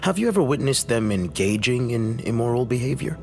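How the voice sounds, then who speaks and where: Domineering detective, swinging pitch, music behind. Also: male voice, big pitch swings, brisk, gravelly. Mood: cold, probing. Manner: condescending, insinuating, accusatory. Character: domineering, contemptuous male police detective interrogating a witness. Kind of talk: dramatic video game cutscene acting.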